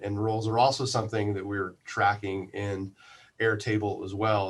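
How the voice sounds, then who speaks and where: A man speaking as an interview guest, explaining things casually in a halting, thoughtful way. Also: fairly low pitch. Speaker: interview guest, community radio manager.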